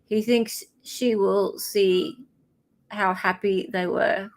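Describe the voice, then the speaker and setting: A woman with a medium-pitched, gravelly, fry-laden voice gives casual commentary as a livestream host. She talks conversationally and repeats her points for emphasis. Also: fairly even intonation, slow, low-quality recording.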